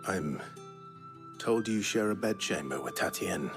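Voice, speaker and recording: Deep-voiced, reserved young swordsman. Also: expressive, creaky, exaggerated voice. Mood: measured. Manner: reserved, measured, terse. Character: brooding, courteous young male swordsman on an errand. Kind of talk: video game cutscene acting. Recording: music behind the voice.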